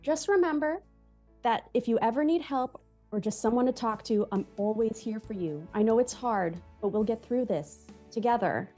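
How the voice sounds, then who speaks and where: A woman acts out a devoted wife in a comedy table read. Her voice is fairly high with a mellow tone, tender and earnest in worry and support, with some music behind. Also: expressive, normal speed. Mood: proud, supportive. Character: worried, supportive, loving wife and mother. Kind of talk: live table read of a movie script.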